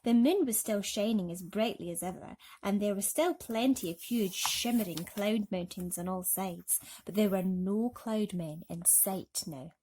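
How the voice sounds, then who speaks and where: A woman's voice: medium pitch, measured pace, few pauses, mellow, slight background noise. A woman narrating a children's bedtime story expressively.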